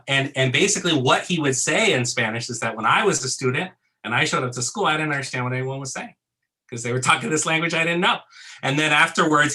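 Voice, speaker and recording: Bright-voiced man, animated storyteller. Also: fairly high pitch. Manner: animated storyteller, hesitant with fillers. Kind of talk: casual podcast conversation.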